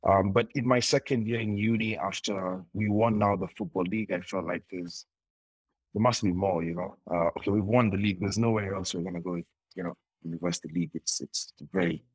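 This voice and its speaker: A man's voice: low voice, fairly even intonation, fairly quick, husky, no room sound, low-quality recording. A young man talking as an interview guest, telling his story in a rambling way with frequent restarts. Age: young adult. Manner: rambling with many restarts and laughs.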